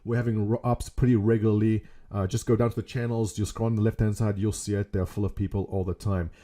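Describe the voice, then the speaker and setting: A middle-aged man with a South African accent talks casually to his gaming community from a small room at home. His voice is mellow and warm, and he rambles from one topic to the next with jokes at his own expense. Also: on the low side, flat delivery, fast. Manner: rambling, jokey, self-mocking. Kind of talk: casual webcam vlog.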